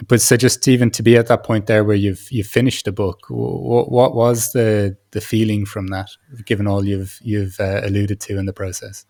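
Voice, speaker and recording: A man's voice: lowish voice, little pitch movement, normal speed, few pauses, a little mellow. A man hosting a conversational podcast interview, feeling his way through long questions with many restarts.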